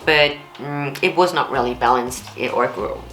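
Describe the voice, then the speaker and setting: Low-voiced woman, blunt reaction host. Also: normal speed, echoey room, a little hiss or hum. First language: German. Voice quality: low alto voice. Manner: blunt, candid, expressive. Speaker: vocal coach and reaction video host. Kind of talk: casual reaction commentary.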